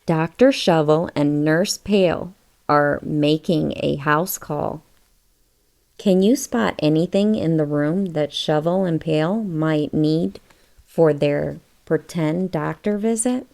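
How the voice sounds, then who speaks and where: Slow-reading woman, softly mellow storybook narrator. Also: low voice, vocal fry. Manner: addresses young listeners with questions. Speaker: children's book read-aloud narrator. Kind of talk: scripted storybook read-aloud.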